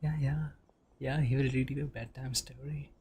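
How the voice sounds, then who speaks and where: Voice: mid-range voice, level tone, brisk, vocal fry, a little mellow, slight background noise. A gamer giving brief, casual, murmured reactions while playing through a story quest. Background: game music.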